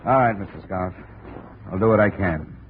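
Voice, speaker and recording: An actor playing a hard-boiled American insurance investigator in 1950s radio style, clipped, dry and matter-of-fact. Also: male voice, low voice, moderate pace, slight background noise, lightly acted. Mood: brisk, questioning. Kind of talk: 1950s radio detective acting and narration. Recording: tinny, narrow-band vintage radio recording.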